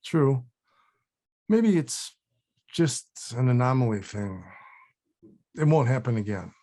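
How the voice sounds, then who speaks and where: A man's voice: medium pitch, expressive, slow pace, mellow. A man playing a laid-back, folksy pal with a menacing side in a table read. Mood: resigned.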